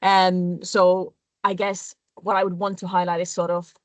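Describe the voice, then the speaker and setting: A woman panelist giving measured, reflective answers in a thoughtful webinar discussion. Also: lowish voice, flat delivery, dry recording.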